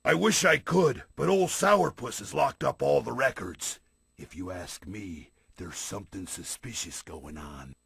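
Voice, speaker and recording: An actor voicing a shifty, grumbling candy factory inspector with a raspy, gravelly voice in a cartoon mystery game. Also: male voice, fairly low pitch, animated, a little slow, a bit bright, exaggerated voice. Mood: conspiratorial.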